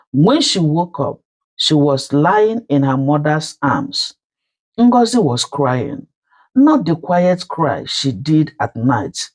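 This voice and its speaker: Swooping, theatrical Nigerian storyteller. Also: mid-range voice. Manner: emphatic, theatrical, with dramatic pauses. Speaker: storyteller, channel narrator. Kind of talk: dramatic scripted folktale narration.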